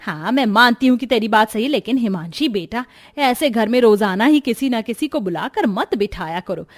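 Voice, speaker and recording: A woman's voice: on the high side, lively intonation, moderate pace, no room sound. A woman voicing a worried, stern older mother-in-law in a cartoon, scolding and pleading. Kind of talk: cartoon voice acting.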